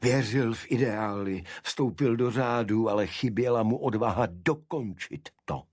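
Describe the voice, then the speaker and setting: Man acting a fanatical, vengeful gentleman cultist, raised husky voice. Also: fairly low pitch, no echo, clean studio sound, voice pushed up. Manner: impassioned, indignant, halting repetitions.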